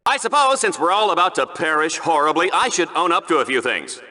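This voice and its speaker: An actor playing a brash, smarmy sci-fi game show commentator, theatrical and sarcastic, swinging between big hype and flippant asides. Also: male voice, on the high side, lively intonation, fairly quick, sharp tone. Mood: confessional, dramatic. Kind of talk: over-the-top live sports commentary, cartoonish game acting.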